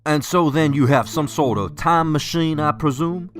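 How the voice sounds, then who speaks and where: An actor playing a pious, self-assured preacher in a radio drama, earnest and sanctimonious in manner. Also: male voice, fairly high pitch, moderate pace, over music, close to an everyday voice. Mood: skeptical.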